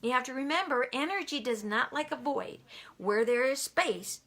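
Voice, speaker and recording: A woman's voice: sits high, lively intonation, moderate pace, pushed and bright. A woman giving a motivational talk, emphatic and repeating key lines for effect. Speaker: motivational speaker.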